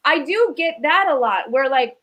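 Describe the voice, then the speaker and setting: A woman's voice: high-pitched, lively intonation, moderate pace, fairly bright tone. An American woman from Chicago chatting casually and jokingly as a panelist. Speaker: podcast panelist.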